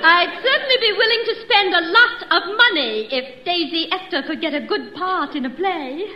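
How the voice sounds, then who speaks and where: Very high, gushing society matron. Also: female voice, animated, moderate pace, bright, forceful tone, some chatter behind, a little hiss or hum, heavily acted. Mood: eager, scheming. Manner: effusive, fluttery, affected politeness. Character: gushing wealthy society matron mother, pushing her daughter. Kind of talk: 1940s-50s radio comedy acting. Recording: roomy, dull old radio recording, audience.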